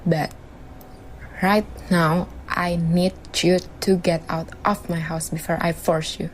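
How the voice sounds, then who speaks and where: A woman with a lowish voice speaks slowly and curtly, playing a mother. Faint noise sits behind the amateur radio drama. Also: level tone, poor audio.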